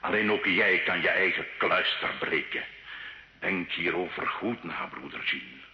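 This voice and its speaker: An actor playing an earnest, fatherly priest, speaking in solemn, measured exhortation in a radio drama. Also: sits low, lively intonation. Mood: urgent, exhorting. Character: priest, earnest fatherly confessor urging a sinner to repent. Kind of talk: theatrical radio drama acting. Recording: dull old radio recording, roomy echo.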